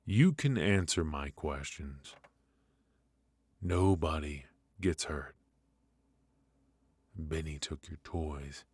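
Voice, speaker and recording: A man playing a ruthless, taunting mafia boss in an intimate ASMR roleplay, slow and menacing with mock politeness and deliberate hesitations. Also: a bass voice, darkish tone, husky, no room sound, heavily acted. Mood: calmly threatening. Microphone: close studio microphone.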